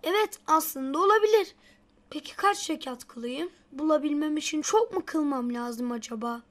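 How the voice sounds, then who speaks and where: A very high, childlike voice of a little schoolboy in a children's cartoon. He sounds earnest and anxious, like a sincere small kid. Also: expressive, moderate pace, a bit bright. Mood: hopeful.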